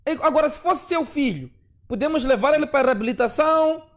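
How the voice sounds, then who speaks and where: A man's voice: very high voice, animated, fast talker. A male host of a live call-in show who gives short acknowledgments and puts probing questions to his callers.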